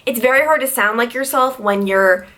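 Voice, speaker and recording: Chatty bright-voiced young woman vlogger. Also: moderate pace. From Long Island, New York. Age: 20s. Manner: chatty, lots of "like", pitch jumps high when excited. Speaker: TV news reporter and vlogger. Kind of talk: casual Q&A vlog.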